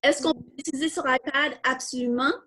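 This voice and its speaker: A woman's voice: sits high, fairly even intonation, brisk, a bit bright. A woman with a Quebec French accent hosting a live online coding workshop, informally thinking aloud and answering questions as she demonstrates. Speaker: workshop host.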